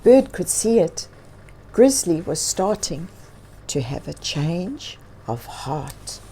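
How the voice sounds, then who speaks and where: A woman storyteller reads a picture book to children in a mellow, slightly low voice. She takes her time and adds expressive emphasis, with little asides to her young listeners. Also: slow pace, breathy edge, rough recording. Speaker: children's read-aloud storyteller.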